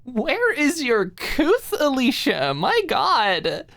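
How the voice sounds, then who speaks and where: A woman voicing a bashful, tipsy young woman, wry and gently exasperated, with a sheepish, hesitant manner in an audiobook. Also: high-pitched, huge pitch swings, very slow, creaky, no room sound, pitched above the performer's own voice, full character voice. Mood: mock-scandalized. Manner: hesitant, sheepish, mock-scandalized.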